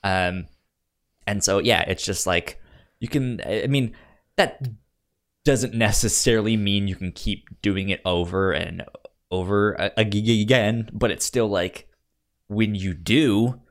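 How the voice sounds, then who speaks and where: Slow-talking American man on a podcast. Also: lowish voice. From United States. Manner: halting, repeats words and syllables as he thinks aloud. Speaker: podcast co-host. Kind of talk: casual film review chat.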